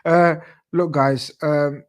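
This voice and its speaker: A young man hosts a casual football stream. His voice sits a little high, and he talks in an upbeat way, often repeating himself as he reads out viewer comments. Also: steady tone, slow pace, clean tone. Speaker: show host.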